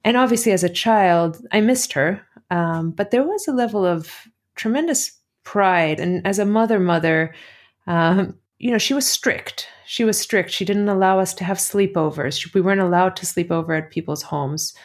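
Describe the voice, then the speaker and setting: Lower-voiced American-accented woman reminiscing. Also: moderate pace. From Athens, Greece. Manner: conversational, thinking aloud with self-corrections. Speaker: interview guest. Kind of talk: reflective personal interview storytelling.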